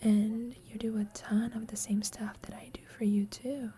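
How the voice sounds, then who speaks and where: Woman performing shy lovestruck female roommate, young, breathy whisper, mellow, hesitant, tender, intimate ASMR roleplay. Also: on the high side, little pitch movement, lightly acted. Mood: shy, uncertain. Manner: hesitant, slow, confiding.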